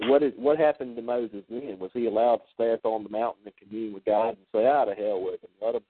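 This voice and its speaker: Man, host, spiritual talk, animated, phone line, dry. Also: mid-range voice, no echo. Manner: animated, acts out quoted voices. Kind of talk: spiritual discussion.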